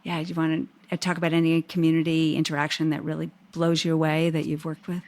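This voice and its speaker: A woman hosts a conversation, affirming her guest's points and musing aloud, with a frequent "you know." Her voice is lowish with a gravelly fry, and it is recorded close and dry. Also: completely flat delivery, fast talker, darkish tone, no room sound. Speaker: podcast host. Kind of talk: conversational interview.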